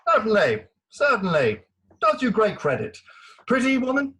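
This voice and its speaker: A man playing a bluff, commanding middle-aged military gentleman in a Victorian farce reading, full of orders and emphatic exclamations. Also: high voice, huge pitch swings, normal speed, heavily acted. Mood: bluff sympathy.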